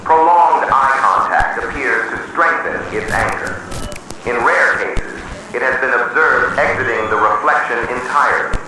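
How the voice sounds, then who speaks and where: Distant man, mid-pitched voice, solemn official emergency broadcast, measured delivery, strong echo. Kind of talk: scripted analog horror emergency announcement. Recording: distant, heavily echoing, noisy emergency broadcast.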